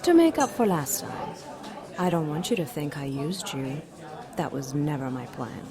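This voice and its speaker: A woman's voice: low-pitched, big pitch swings, moderate pace. A woman voicing a seductive, imperious demon queen in an audio drama, coy, mocking and theatrical. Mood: cool, sly. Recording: crowd chatter behind the voice. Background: crowd chatter.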